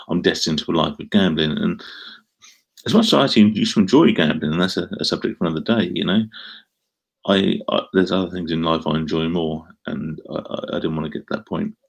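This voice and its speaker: A man's voice: deep voice, a little fast, creaky, husky. A middle-aged man from Essex with an Essex accent, chatting casually on a live stream and answering comments in a rambling, self-deprecating way. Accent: Essex English. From Essex, England. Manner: rambling, self-deprecating, frequent ums and restarts.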